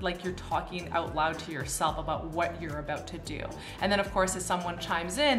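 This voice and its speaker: A woman in her thirties with a Canadian accent, explaining things to camera in a chatty, informal teaching style. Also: mid-range voice. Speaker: pilot and video host. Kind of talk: casual educational explainer to camera. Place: small furnished room indoors. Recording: roomy, echoey indoor sound.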